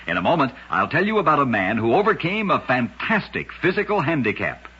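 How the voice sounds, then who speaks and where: A man's voice: medium pitch, big pitch swings, a little fast, pushed and bright, slightly rough. A man narrating short curiosities for radio in a scripted, dramatic style with emphatic storytelling. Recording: dull lo-fi old radio recording.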